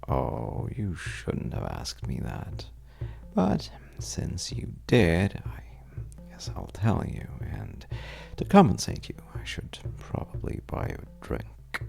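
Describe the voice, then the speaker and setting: A man playing a wry, roguish, well-dressed stranger at a bar in an intimate audio roleplay, teasing and telling stories with dramatic pauses in a gravelly voice. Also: low-pitched, huge pitch swings, a little mellow, in a whisper, faint music behind, heavily acted. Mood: playful, inviting. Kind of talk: intimate audio roleplay monologue addressed to the listener.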